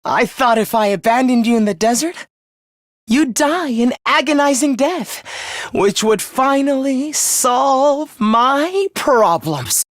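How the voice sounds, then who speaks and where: A young male video game traitor with a voice that swings widely in pitch. He is gloating, contemptuous and genocidal, delivering his villainy with theatrical menace. The sound is dry and close. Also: animated, takes it slowly, fairly bright tone, no echo, exaggerated voice. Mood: seething loathing. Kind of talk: video game voice acting, villain confession monologue.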